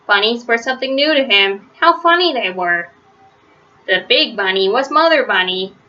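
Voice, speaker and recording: Female children's read-aloud narrator, bright and crisp-edged, deliberate storybook phrasing, slightly muffled lo-fi recording. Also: on the high side, lively intonation. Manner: simple, deliberate phrasing with stressed words for young listeners. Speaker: storybook reader.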